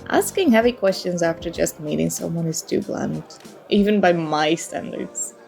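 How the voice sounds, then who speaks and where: A woman's voice: on the low side, moderate pace, vocal fry. A woman playing a flirty, charming stranger at a party bar in an ASMR roleplay, teasing and coy as she talks. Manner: playful, teasing, coy, mock-apologetic. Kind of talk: ASMR roleplay, acted one-sided conversation. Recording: soft music and party chatter behind. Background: music and crowd murmur of a party bar.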